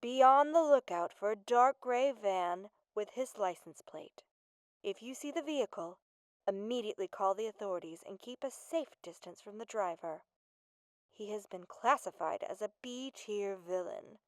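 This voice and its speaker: Casting: female news anchor, played by a woman, crisp clear tone, dry sound, composed bulletin style. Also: medium pitch, lively intonation, moderate pace, dry recording, close to an everyday voice. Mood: composed, urgent.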